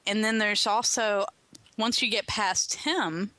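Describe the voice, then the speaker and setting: A woman's voice: mid-range voice, takes it slowly, pushed and bright. A woman chatting casually on a panel and explaining things conversationally. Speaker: podcast panelist.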